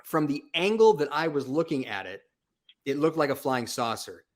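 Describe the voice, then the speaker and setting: A man's voice: a little high, animated, moderate pace. A man hosting a call-in show, chatting and telling stories casually with emphatic, slangy, informal phrasing. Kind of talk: casual chat, storytelling.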